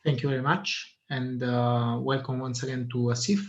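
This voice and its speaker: A man moderating an online debate over a video call, pausing often with fillers. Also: mid-range voice, steady tone. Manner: hesitant, many fillers. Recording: muffled video call audio.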